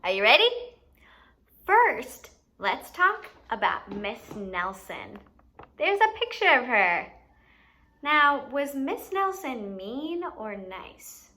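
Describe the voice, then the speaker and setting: A woman's voice: on the high side, big pitch swings, slow, pushed and bright. A woman hosting a children's educational activity, playfully giving instructions and asking questions as if to young kids. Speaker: children's story time host and teacher.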